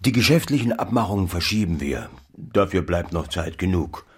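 Deep, husky old farmer father. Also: expressive, normal speed. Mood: measured, businesslike. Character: older farmer father-in-law, practical and measured. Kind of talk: German literary radio drama acting.

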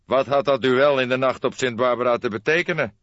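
A man's voice: medium pitch, a little fast, fairly bright tone, pitched above the performer's own voice, lightly acted. A man voicing an earnest, puzzled male police colleague in an audiobook, pressing for explanations in an insistent, emphatic way. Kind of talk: audiobook character dialogue. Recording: dull lo-fi audiobook, roomy echo.

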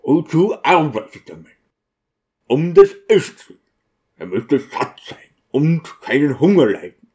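A man's voice: a little high, sing-song, unhurried, a little mellow. A man voicing an eager, boastful deacon in an audiobook, using affected, oddly stressed expressions. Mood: lecturing. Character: eager, boastful deacon showing off newly learned city slang.

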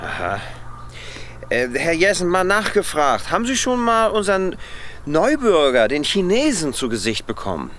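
Snoopy neighbor in a radio play, highish voice, colloquial, faint motor noise outdoors. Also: male voice, wildly swooping pitch, normal speed, a bit bright, exaggerated voice. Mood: probing. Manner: inquisitive, hesitant, colloquial. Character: nosy, skeptical male neighbor snooping for information. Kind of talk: German radio play dialogue.

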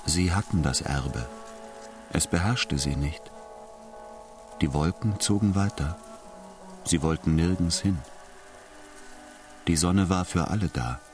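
Deep male radio drama narrator. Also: slow pace, pauses often, darkish tone. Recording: music behind the voice.

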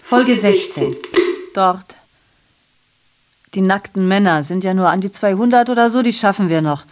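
A woman's voice: mid-range voice, normal speed, lightly acted. An actress playing a bitterly ironic, evasive woman messenger reporting horrors in a theatrical, sardonic radio drama monologue. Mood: bitter irony.

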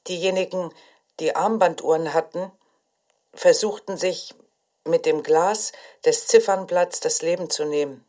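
An actress playing a mother who survived prison, giving sombre, measured testimony in an audio drama. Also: lowish voice, dark tone, close to an everyday voice. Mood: grave, haunted.

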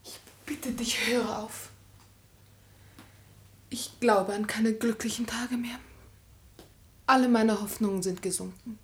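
An actress playing a dutiful, anguished young woman in love in a classic German tragedy for radio, breathy and solemn, often near a whisper. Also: on the high side, steady tone, slow, long pauses. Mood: fearful, pleading.

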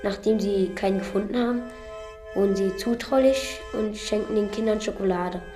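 Woman reading aloud over clear music. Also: mid-range voice, moderate pace. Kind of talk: plain read-aloud eyewitness account in a radio feature. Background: instrumental music.

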